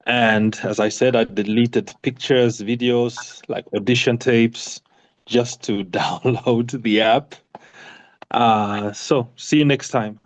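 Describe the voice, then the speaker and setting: A man's voice: medium pitch, fairly even intonation, takes it slowly. A man from Uganda with a mixed Ugandan and North American accent, talking casually on a video call. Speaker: session participant, film creative. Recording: dull video-call audio.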